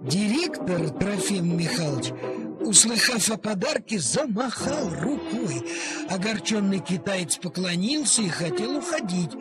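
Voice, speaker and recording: A man's voice: sits high, big pitch swings, unhurried, loud music behind the voice. A man narrating a story expressively, in a theatrical way, drawing out key words.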